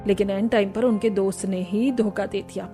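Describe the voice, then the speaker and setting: A woman's voice: mid-range voice, steady tone, brisk, mellow, faint music behind, pitched below the performer's own voice, close to an everyday voice. A woman voicing a kindly but firm middle-aged mother-in-law in a family cartoon. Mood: apologetic. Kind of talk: acted dialogue in a moral family cartoon.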